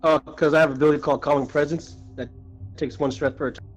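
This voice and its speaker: A man with a fairly high voice talks casually in a tabletop roleplaying session. Light music plays behind him. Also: steady tone, fast. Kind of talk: casual game chat.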